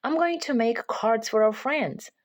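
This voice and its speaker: A woman's voice: fairly high pitch, measured pace, voice pushed up, close to an everyday voice. A woman voicing a cheerful, affectionate little girl in a children's storybook read-aloud. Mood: bright, eager.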